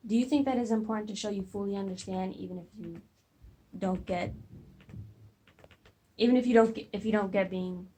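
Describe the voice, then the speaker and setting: A woman's voice: medium pitch, a little fast, long pauses, dark tone, a little hiss or hum. A young woman, a student interviewer, reading out questions hesitantly and stumbling over her wording.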